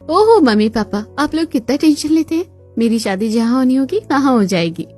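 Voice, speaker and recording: Woman doing dramatic cartoon daughter and chatty aunties, very expressive, clear music playing behind. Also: sits high, normal speed. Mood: playful, exasperated. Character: young unmarried daughter and her chatty women relatives, expressive. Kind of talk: Hindi cartoon story dialogue.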